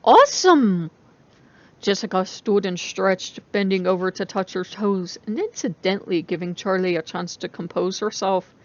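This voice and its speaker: A woman's voice: mid-range voice, flat delivery, moderate pace, few pauses. Woman narrator, slightly lo-fi recording. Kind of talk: scripted story narration, dramatic reading.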